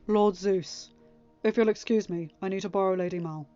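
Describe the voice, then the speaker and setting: A woman voicing a calm, caring young king, softly spoken, polite and reassuring, in a story reading. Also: mid-range voice, moderate pace, natural voice. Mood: gentle concern. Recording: slightly muffled, music underneath.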